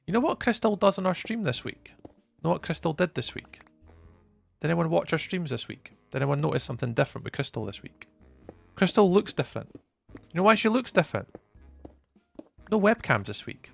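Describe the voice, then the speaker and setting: A young Scottish man from the east coast, live-streaming and chatting to viewers in a teasing, up-and-down way, from loud bursts to low mutters. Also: sing-song, fairly quick, gravelly, pauses often, faint music behind. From east coast of Scotland. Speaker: livestreamer.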